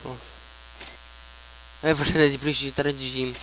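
A man's voice: mid-range voice, flat delivery, a little slow. A Brazilian man giving casual, slangy gameplay commentary, often muttering to himself. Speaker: gaming YouTuber. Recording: noisy home recording with electrical hum.

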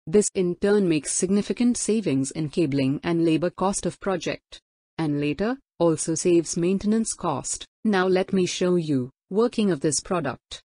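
A woman's voice: on the low side, unhurried, mellow, dry recording, studio quality. A woman giving a formal, instructional scripted product demo narration. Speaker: product demonstrator.

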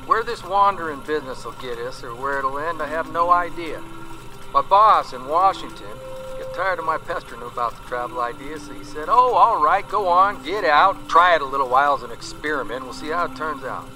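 An actor playing a wry, restless 1930s travelling columnist in a radio drama monologue, on a simulated old wire recording. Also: male voice, a little high, lively intonation, fairly quick, bright, forceful tone, poor audio. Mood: wry, musing.

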